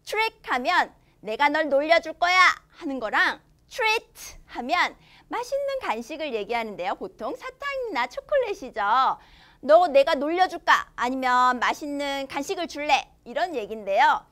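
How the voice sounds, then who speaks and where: A young woman teaching a children's language lesson, expressive and enunciating slowly for young learners. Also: sits high. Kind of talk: children's language lesson, switching between Korean and English.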